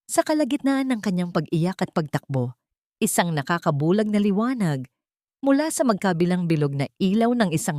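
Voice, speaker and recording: Woman storyteller, lowish voice, dead dry sound, serious steady drama reading. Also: dry recording. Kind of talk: scripted dramatic story narration.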